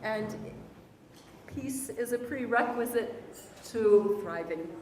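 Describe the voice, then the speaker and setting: A woman from Michigan speaking from the audience in a measured, deliberate way. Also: a little high, darkish tone. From Michigan, USA. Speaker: audience member. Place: lecture hall with audience. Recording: echoey hall, distant mic, room noise.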